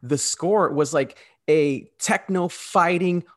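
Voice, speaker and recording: A man's voice: on the high side, slow pace. A man in his forties talking casually and emphatically as a podcast co-host, like an enthusiastic fan. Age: 40s. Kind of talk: casual chat.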